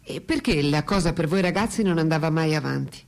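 A woman's voice: deep voice, animated, fairly quick, gravelly, a little mellow. Wry, probing woman intellectual. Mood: curious, wry. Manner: questioning, wry, frank. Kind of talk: radio drama acting.

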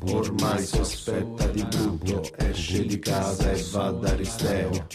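A man's voice: low voice, flat delivery, slow. An actor with a Roman accent playing a brooding, jealous man in a radio drama, delivering repetitive rhythmic spoken word. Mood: brooding anger. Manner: repetitive, chant-like. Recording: echoey voice under loud beatbox music.

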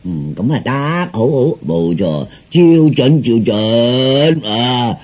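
A man's voice: mid-range voice, lively intonation, takes it slowly, a little mellow. An actor playing a pompous, self-indulgent king and doting father in a comic radio drama, speaking with hesitations and sighs. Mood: pleased.

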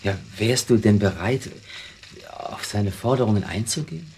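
A man playing a weak middle-aged husband in a radio drama, confessing in a hesitant, rambling, self-justifying way with a husky voice. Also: lowish voice, expressive, moderate pace, a little mellow, slight background noise. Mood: brooding, reminiscing. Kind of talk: naturalistic German radio drama acting.

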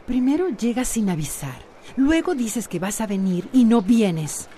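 A woman's voice: fairly high pitch, very animated, unhurried, mellow, slight background noise. An actress in a radio soap opera playing a nervous, evasive separated woman with a hesitant way of talking. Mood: tense, exasperated. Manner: flustered, hesitant.

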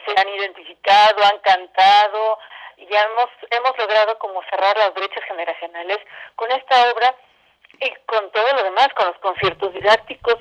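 A woman's voice: mid-range voice, a bit bright. A Mexican woman speaking as an interview guest, an arts educator explaining her work conversationally and building her points through lists and repetition. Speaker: guest, arts educator and theatre company director. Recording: muffled, band-limited phone call.

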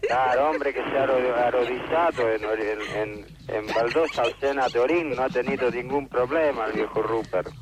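A man's voice: mid-range voice, moderate pace, hardly any pauses, background noise, natural voice. A man playing a shady, muddled, touchy older man with a Buenos Aires accent in an improvised radio sketch. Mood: boastful. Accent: Argentine (Buenos Aires). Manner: rambling, slangy, easily confused, touchy. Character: shady, grumpy, muddled old man, self-styled secret agent. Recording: dull lo-fi radio broadcast.

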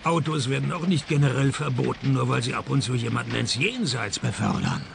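Knarziger, trockener Privatdetektiv. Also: male voice, medium pitch, fairly quick, creaky, slight background noise. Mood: dry questioning. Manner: dry, sardonic, deadpan. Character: wry, deadpan private detective narrating his own cases. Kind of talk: radio play detective narration and dialogue.

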